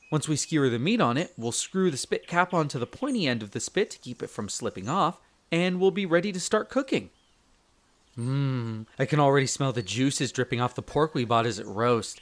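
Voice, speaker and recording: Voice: mid-range voice, close to an everyday voice. A performer playing a young male elf explorer, a chatty, friendly guide speaking to the listener in an audio roleplay. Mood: cheerful. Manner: expressive, chatty, stammers when flustered.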